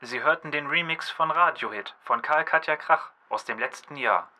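Bright, polished man acting as radio presenter. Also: on the high side, normal speed. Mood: upbeat, official. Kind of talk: scripted radio announcing in audio drama.

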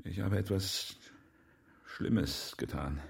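An evasive, guilty husband in a radio drama. His voice is low and husky, halting, and a little off the mic. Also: recorded from a distance, full character voice.

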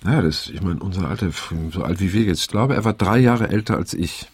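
An actor playing a weary, reflective middle-aged man in naturalistic radio drama, speaking haltingly and pensively with a gravelly, husky voice. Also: low voice, dry recording. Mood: resigned, somber. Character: weary middle-aged male survivor of a hippie circle, reflective.

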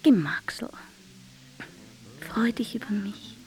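Slow, coquettish actress as Viennese sweetheart. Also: female voice, a little high, loud music behind the voice. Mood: reproachful, pleading. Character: capricious, coquettish Viennese sweetheart, pleading yet teasing. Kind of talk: dramatic literary recitation over music.

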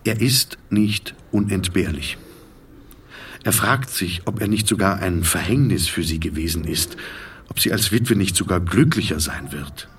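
A man reading scripted literary narration for a radio drama in a measured, sober manner. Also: deep voice, expressive, distant mic, slight background noise.

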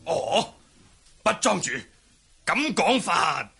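A man's voice: high voice, very animated, drawn-out words, vocal fry, pushed and bright, husky, exaggerated voice. A male actor playing a concerned, respectful manor lord in a Cantonese wuxia radio drama, speaking emphatically. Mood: reasoning, relieved.

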